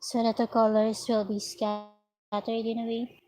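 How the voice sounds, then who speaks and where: A woman vlogging about her crochet work in an unhurried, chatty way, her voice slightly raspy. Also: a little high, completely flat delivery, mellow, no room sound, poor audio. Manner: unhurried, chatty, talks while working. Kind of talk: slow casual vlog narration while crocheting. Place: at home, indoors.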